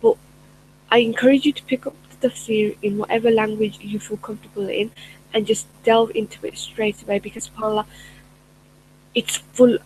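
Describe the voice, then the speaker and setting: A woman's voice: fairly high pitch, level tone, normal speed, darkish tone, slight background noise, rough recording. A middle-aged woman, a personal development coach, talking earnestly to camera in a chatty way with many fillers and restarts. Her first language is Urdu. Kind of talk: casual spoken talk to camera.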